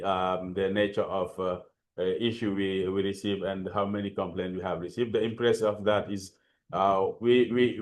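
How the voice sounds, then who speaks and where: A man from Senegal speaking English with a French accent, his voice sitting a little low. He presents slowly and haltingly, restarting phrases and filling pauses with "uh". It sounds like a video call. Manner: hesitant, halting, many fillers and restarts. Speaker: panelist. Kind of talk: webinar presentation.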